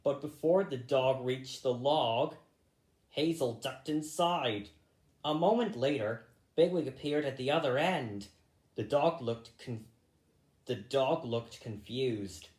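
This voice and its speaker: A man reading a children's story aloud, a little halting, going back over misread phrases. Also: mid-range voice, a little mellow, reverberant. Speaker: storybook reader.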